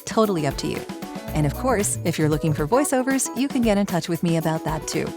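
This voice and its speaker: Lowish-voiced woman reading promo over music. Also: expressive, fast. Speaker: podcast host, voice actor. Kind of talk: scripted promotional narration.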